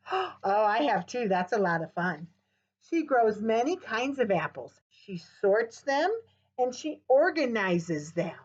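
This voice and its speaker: An older woman reading a picture book and talking warmly to young children at a virtual storytime. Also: fairly high pitch, lo-fi sound. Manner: animated and encouraging, gasps with delight, sings and chants rhymes. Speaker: children's librarian. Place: small office with window blinds, indoors.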